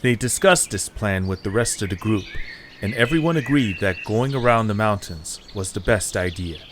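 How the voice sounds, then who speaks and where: A male narrator with a somewhat low voice, telling the story in a calm, even, scripted delivery. Faint background noise sits under the voice. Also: normal speed.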